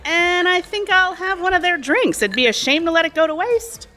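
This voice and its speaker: Bright, animated, sly cocktail waitress. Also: female voice, very high voice, moderate pace, soft background music, heavily acted. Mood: breezy. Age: 30s. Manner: breezy, familiar, insinuating, talkative. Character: pushy, chatty, sly cocktail waitress and aspiring actress, mid-thirties. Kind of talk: audio drama acting.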